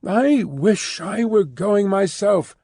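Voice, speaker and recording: A courteous, earnest young gentleman from a classic novel, put on by a male narrator. His voice is pitched high for a man, with formal, thoughtful, slightly hesitant phrasing and a restless undercurrent. The recording has no room sound. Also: expressive, takes it slowly, creaky, husky, dry recording, clean studio sound, full character voice. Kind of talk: audiobook character dialogue.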